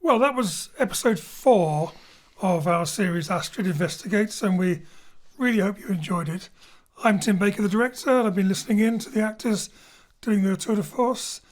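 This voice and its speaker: A man's voice: high-pitched, moderate pace. A man, the director, casually closing the episode and chatting with the actors in a hesitant, friendly way. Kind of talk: casual outro chat. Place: home studio. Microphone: studio mic over a live internet link.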